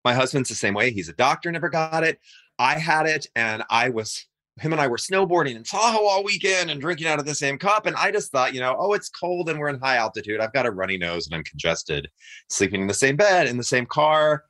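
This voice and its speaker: An animated man guesting on a casual podcast, who tells stories and laughs a lot. His pitch swings widely as he talks, and his voice has a bright, forward edge. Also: fairly quick. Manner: animated, laughs often, storytelling. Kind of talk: casual chat.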